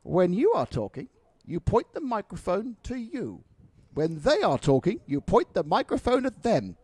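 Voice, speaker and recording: A man putting on a Croatian man's slow instructions. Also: sits high, wildly swooping pitch, darkish tone, breathy edge, dry recording, heavily acted. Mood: instructive.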